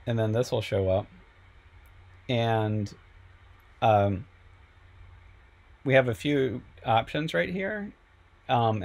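A man teaching a software class over a screen recording, a little sick and losing his voice, explaining step by step with frequent ums. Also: on the low side, gravelly. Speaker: instructor.

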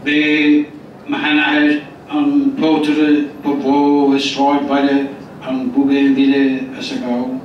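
An older man, a poet and translator, reciting poetry slowly and deliberately on a festival stage into a handheld mic. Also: mid-range voice, monotone. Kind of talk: poetry reading. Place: festival stage, indoor hall. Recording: echoey hall from far off, dull and noisy.

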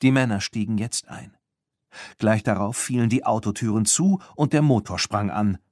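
A man's voice: on the low side, normal speed, darkish tone, dry recording, studio quality. A man narrating a scripted children's story as an audiobook reader.

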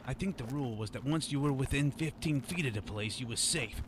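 An actor playing a nervous, shifty streetwise informant on the run in a radio drama. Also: male voice, medium pitch, a little fast, background noise, lightly acted. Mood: explaining. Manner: jittery, streetwise. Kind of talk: radio drama monologue and dialogue.

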